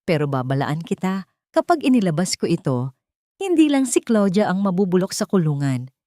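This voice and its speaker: A woman's voice: on the low side, sing-song, moderate pace, warm tone, no room sound, studio quality. A woman voicing a wealthy young father who speaks coldly and firmly to protect his family. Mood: threatening. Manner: cold, firm, threatening.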